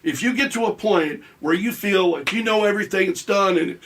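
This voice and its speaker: A man's voice: sits high, fairly quick, fairly bright tone, slight background noise. A middle-aged man, a radio talk show host, speaking conversationally as an interview guest and explaining his work in a self-deprecating way.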